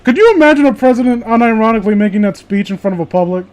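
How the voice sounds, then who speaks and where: A man's voice: high voice, expressive, racing, darkish tone. A man chatting casually with friends in a rambling, opinionated, sweary way. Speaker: host of a reading show.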